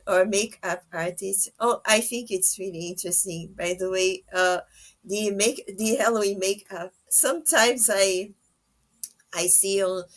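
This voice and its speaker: A woman hosts a casual podcast interview at a slow pace. Her phrasing is halting and conversational, and her voice sits in a middle range. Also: mid-range voice.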